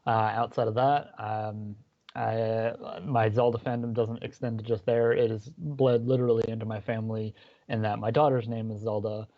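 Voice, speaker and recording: A man's voice: fairly low pitch, level tone, normal speed, no echo, phone-quality sound. A man introducing himself as a podcast guest, talking casually with lots of ums and uhs.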